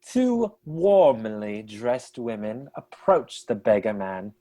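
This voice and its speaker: An actor voicing the ghost of an author who narrates a radio play in a measured, theatrical storytelling manner. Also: medium pitch, sing-song, warm tone. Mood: genial.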